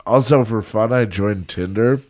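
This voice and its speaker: Young man, podcast co-host, low voice, unhurried, profane and sarcastic banter. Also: a little mellow. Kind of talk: crude casual banter.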